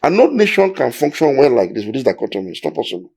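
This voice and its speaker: A man's voice: mid-range voice, sing-song, fast, darkish tone, slightly rough. A Nigerian man with a Nigerian accent, explaining history in a discussion in an emphatic, lecturing way, building his points step by step.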